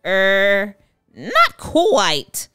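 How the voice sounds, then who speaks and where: A woman's voice: medium pitch, wildly swooping pitch, drawn-out words, sharp tone, smooth, no room sound, studio quality, faint music behind, full character voice. A woman voicing an anxious little old male elf in a children's storybook, hesitant and apologetic. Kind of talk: children's storybook read-aloud.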